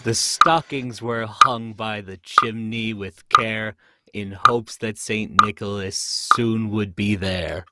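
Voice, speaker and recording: A man narrating a rhyming poem in a live radio play reading, measured and even. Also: fairly low pitch. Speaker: narrator and host. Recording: noisy home mic stream.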